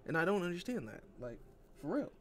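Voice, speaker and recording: Male online commentator, voice a bit high, casual and argumentative, on a slightly noisy home recording. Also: sing-song, fairly quick, a bit bright.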